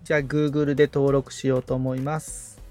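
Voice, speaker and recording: A man's voice: mid-range voice, flat delivery, moderate pace, mellow, soft background music. A man assisting on a tutorial podcast, agreeably reading out steps as he follows along politely. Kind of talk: casual, polite walk-through of on-screen steps.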